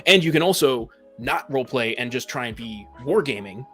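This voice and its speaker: A man's voice: medium pitch, expressive, fairly quick, sharp tone. Man explaining his view, music behind. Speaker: podcast panelist. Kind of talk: casual discussion of tabletop gaming.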